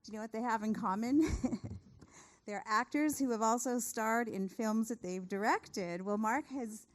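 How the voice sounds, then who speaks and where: A woman's voice: a little high, unhurried. A woman, a theater producer giving a speech of thanks to a cast and crew.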